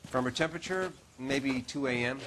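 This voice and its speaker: An actor playing a dry, matter-of-fact medical examiner at a crime scene in a video game. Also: male voice, mid-range voice, moderate pace, fairly bright tone, a little hiss or hum, natural voice, low-quality recording. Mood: clinical, dry. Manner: clinical, dry. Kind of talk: video game cutscene acting.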